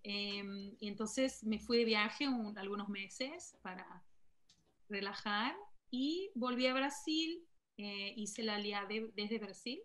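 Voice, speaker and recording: A woman's voice: mid-range voice, slow, fairly bright tone. A woman, a guest in an online panel, telling her own story casually, with many fillers and self-corrections. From Argentina. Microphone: video call.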